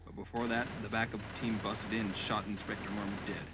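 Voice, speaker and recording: A man's voice: on the low side, flat delivery, fairly quick, very noisy. A man narrating a short audio drama as a scripted story.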